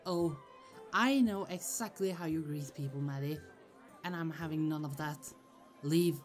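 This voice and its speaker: A woman's voice: low voice, a little slow. A woman playing a protective, easily annoyed sorceress sister in a fantasy audio roleplay, chatty, affectionate and firm. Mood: angry, stern. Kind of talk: ASMR roleplay acting. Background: music.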